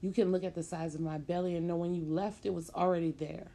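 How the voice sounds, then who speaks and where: A woman doing a pleading young woman in a storybook, with a mellow voice. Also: lowish voice, fairly even intonation, quick pace, vocal fry. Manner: pleading, emotional.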